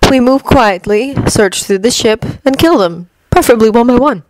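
A woman's voice: a little high, moderate pace, no echo, voice pushed up, natural voice, rough recording. A woman reading a fan fiction audiobook voices a cold, scornful male schemer who talks in a menacing, persuasive way. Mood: calm. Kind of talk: audiobook character voice.

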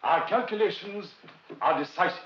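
A man's voice: high voice, normal speed, fairly bright tone. A man playing a confident, visionary professor who lectures formally in a 1950s film drama. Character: confident, visionary professor leading a rocket team. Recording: muffled old film soundtrack, faint noise.

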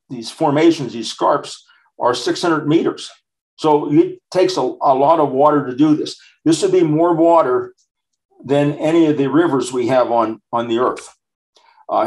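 A man in his sixties or older presents an informal slide lecture, explaining things plainly in short, halting phrases. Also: medium pitch, darkish tone, low-quality recording. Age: 60s or older.

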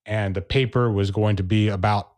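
A man speaking as a podcast guest, a relaxed storyteller in casual interview chat. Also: lowish voice, moderate pace. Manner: relaxed storyteller, acts out quoted people. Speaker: percussionist and educator, podcast guest.

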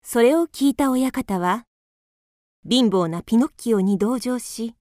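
Calm woman narrating a fairy tale. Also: fairly high pitch, measured pace. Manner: calm, clear storytelling. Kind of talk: storybook narration for a radio drama.

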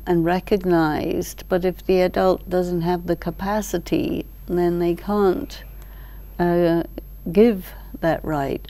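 An older woman explaining ideas thoughtfully as an interview guest, in short measured phrases with deliberate pauses. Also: on the low side, mellow. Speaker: expert guest, teacher. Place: large library hall, indoors. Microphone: lapel mic.

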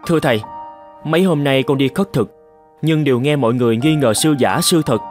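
A man plays an earnest boyish novice monk in a cartoon. He is deferential and polite, quick to fret, in a mid-pitched voice with faint music behind. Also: lightly acted. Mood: respectful, troubled. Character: earnest young novice monk, respectful, easily upset. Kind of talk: dramatic cartoon voice acting.

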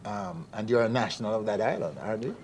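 A man's voice: lowish voice, lively intonation, fast talker, crowd noise. A man in his forties hosting a studio interview, asking friendly, upbeat questions. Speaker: TV show host. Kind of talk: TV entertainment interview. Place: TV studio set.

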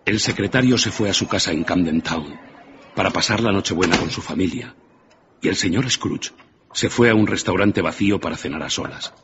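A man's voice: sits low, brisk, lo-fi sound, background noise. A man narrating a scripted radio drama as a dramatic storyteller. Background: music.

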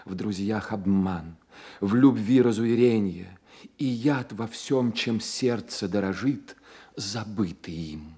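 An actor playing an ardent romantic poet and fond friend in a radio play, speaking in an expressive, literary manner. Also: male voice, fairly low pitch, slow pace, husky, full character voice. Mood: rapt. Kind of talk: Soviet radio play acting.

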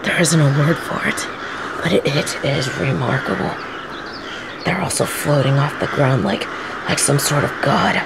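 A woman playing an earnest, shaken professor and field agent in an audio roleplay, speaking in a halting, breathy, fretful way. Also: mid-range voice. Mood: awed. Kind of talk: dramatic audio roleplay acting. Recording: noisy outdoor ambience with cawing crows.

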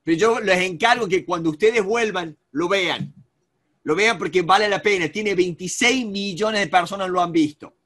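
A man's voice: high voice, normal speed, fairly bright tone. A man in his forties with an Argentine accent, a negotiation coach giving a live online webinar from a home office, rambling with many restarts and fillers over a webcam. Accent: Argentine Spanish. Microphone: video call webcam.

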